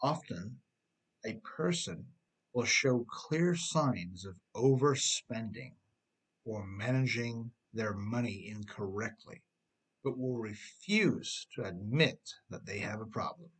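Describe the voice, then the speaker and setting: A man with a husky, slightly rough voice at a medium pitch narrates his own self-help book. He reads like a conversational audiobook author, wry and given to sarcasm, turning to the listener with rhetorical questions. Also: lively intonation, takes it slowly, fairly bright tone.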